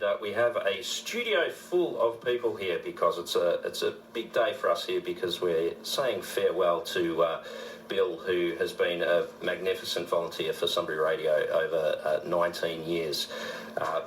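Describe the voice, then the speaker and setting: Low-voiced Australian radio man in echoey room. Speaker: community radio presenter. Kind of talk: casual live radio chat. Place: small radio studio. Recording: roomy echoing studio sound.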